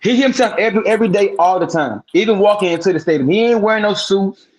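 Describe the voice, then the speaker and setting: A man with a fairly high voice co-hosts a casual sports podcast. He breaks the game down in a profane, emphatic rant and hammers his points by repeating words. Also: fast talker, poor audio. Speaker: podcast co-host.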